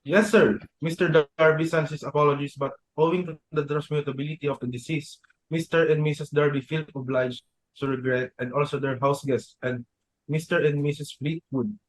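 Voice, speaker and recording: A young man reading as a dutiful butler who delivers his messages with stiff formality. His voice sits fairly high for a man. The delivery is polite and deferential. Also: steady tone, brisk, natural voice, low-quality recording. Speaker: student actor. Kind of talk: amateur dramatic reading.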